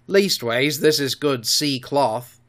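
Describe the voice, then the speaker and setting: A man voicing a bold, rough pirate sailor who talks bluntly and matter-of-factly. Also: medium pitch, takes it slowly, fairly bright tone.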